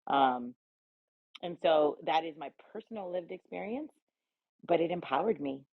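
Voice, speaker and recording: An assertive American woman tells personal stories in a candid, emphatic style. Her voice is husky with plenty of fry. It comes through thin, phone-like audio. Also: low-pitched, lively intonation, moderate pace. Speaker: round table guest, cannabis advocate. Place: remote audio chatroom call. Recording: roomy, tinny phone-like audio.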